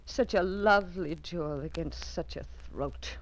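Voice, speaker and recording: Actress voicing a vain aging Parisian widow. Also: female voice, lowish voice, a little slow, natural voice, poor audio. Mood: rueful. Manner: theatrical, breathy sighs, swings between despair and command. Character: vain aging Parisian widow, melodramatic, grieving her lost beauty. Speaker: lead actress.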